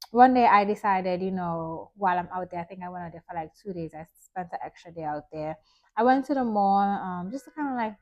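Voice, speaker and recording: A woman's voice: mid-range voice, highs cut off. A woman from Trinidad who works as a hair stylist, chatting casually to camera with lots of ums and likes. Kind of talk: casual vlog chat to camera.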